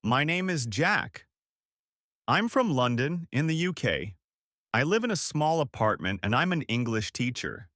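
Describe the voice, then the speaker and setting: Male podcast teacher, mid-pitched, clear and upbeat. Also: very animated, a little slow, a bit bright, no echo, studio quality. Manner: clear, upbeat explaining with example phrases. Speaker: podcast co-host and English teacher. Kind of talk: scripted English lesson dialogue.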